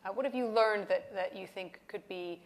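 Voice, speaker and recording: A woman's voice: medium pitch, moderate pace. A woman moderating a conference panel interview, asking probing follow-up questions. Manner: probing, pressing for direct answers. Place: conference stage, large hall.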